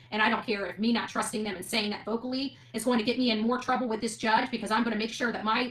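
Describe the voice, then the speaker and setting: A woman's voice: fairly high pitch, a bit bright, low-quality recording. A woman ranting unscripted to her online audience in a defiant, indignant, emphatic manner. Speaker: online commentator covering court cases.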